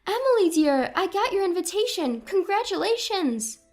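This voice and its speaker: A woman acts out a proud, doting grandmother in her seventies for an audio story. The voice is high and animated, with an earnest, direct way of talking and music behind it. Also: moderate pace, heavily acted. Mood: warm, curious. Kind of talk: acted dialogue in an audio story.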